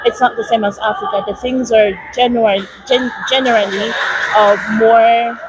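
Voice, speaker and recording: A woman's voice: fairly high pitch, steady tone, moderate pace. Young woman with a British accent. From Nigeria. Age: young adult. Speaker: interviewee. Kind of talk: casual interview. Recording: noisy background.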